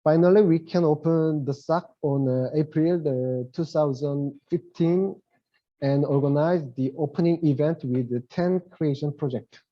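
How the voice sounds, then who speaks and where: A middle-aged man with a Korean accent, giving a webinar presentation in English as his second language, halting and searching for words. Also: fairly high pitch. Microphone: video call. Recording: lo-fi video call audio.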